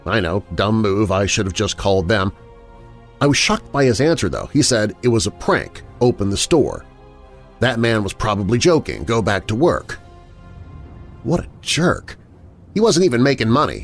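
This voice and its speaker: A man reading first-person scary stories as a narrator, in a dramatic, expressive storytelling manner. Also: lowish voice. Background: background music.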